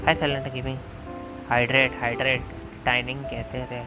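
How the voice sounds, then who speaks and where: A man's voice: medium pitch, level tone, very fast, low-quality recording. A man with an Indian accent chatting casually as a game live streamer, informal and repeating phrases, with game music behind him. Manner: informal, repeats phrases, switches into English words. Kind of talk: casual chat and game commentary. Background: video game music.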